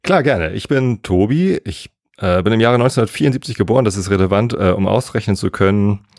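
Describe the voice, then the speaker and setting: A man, a podcast guest chatting in a relaxed, rambling way full of fillers. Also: on the low side, fairly quick, dry recording, clean studio sound. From Hamburg area, northern Germany. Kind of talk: casual podcast chat.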